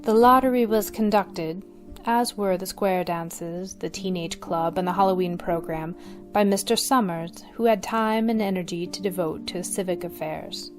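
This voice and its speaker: A woman's voice: on the low side, normal speed. A woman giving scripted story narration as the narrator of a radio play. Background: faint plucked guitar music.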